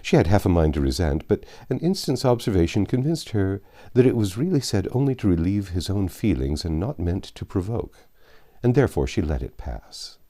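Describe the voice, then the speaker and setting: A man narrating a classic novel aloud as an audiobook reader, with measured, expressive literary phrasing. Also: sits low.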